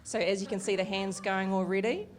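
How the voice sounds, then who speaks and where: A woman's voice: medium pitch, a little fast, crowd noise. Chatty New woman interpreter. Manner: lively, chatty, with frequent fillers. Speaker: sign language interpreter voicing the Deaf host. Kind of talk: live voice interpreting at an evening event. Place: event venue with an audience.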